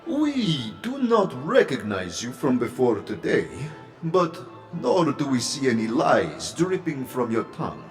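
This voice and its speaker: A man's voice: medium pitch, huge pitch swings, a little slow, faint music behind. An actor playing a formal, solemn male doorman in a fantasy audio drama, speaking ceremoniously. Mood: solemn, measured.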